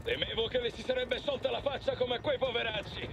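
An actor playing a blunt, informal commando on a strike team in a military game. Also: male voice, very high-pitched, brisk, creaky, noisy background. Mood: grim, teasing. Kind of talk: cinematic military game acting.

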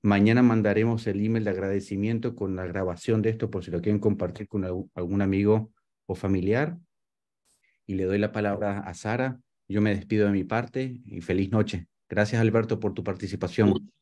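Deep, mellow-voiced man hosting a webinar. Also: steady tone, normal speed. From Venezuela and Uruguay. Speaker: real estate advisor hosting a webinar. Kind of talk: webinar talk, closing remarks. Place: indoors, on a video call. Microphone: video call microphone.

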